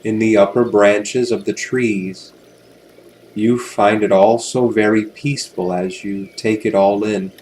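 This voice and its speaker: A man with a fairly low voice guides a hypnosis session. He speaks very slowly and soothingly, leaving long pauses between gentle phrases. A steady wash of watery ambient noise runs behind him. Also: low-quality recording. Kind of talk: guided hypnosis relaxation narration.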